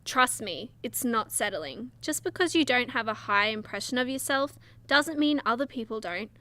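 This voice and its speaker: A woman playing a sharp-tongued, wry superheroine in a comedy radio play, blunt and sarcastic. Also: fairly high pitch, expressive, moderate pace, no room sound. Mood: fond, teasing. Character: sharp-tongued, wry young superheroine, a frank drinking buddy.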